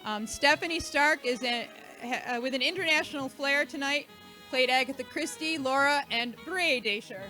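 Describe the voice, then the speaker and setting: A woman's voice: high-pitched, moderate pace, sharp tone, faint music behind. A woman hosting an event, giving an informal, chatty, joking thank-you speech to a live audience. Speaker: event host and organiser.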